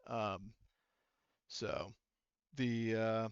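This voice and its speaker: A man acting as game master, improvising narration and rulings in a halting, explanatory way. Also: lowish voice, steady tone, clear, resonant tone, no room sound, muffled recording.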